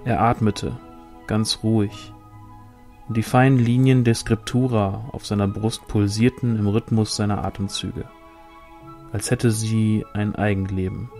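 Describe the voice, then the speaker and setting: A man reading a fantasy novel aloud as scripted narration, in a measured storytelling manner. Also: lowish voice, steady tone, darkish tone, faint music behind. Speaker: audiobook reader.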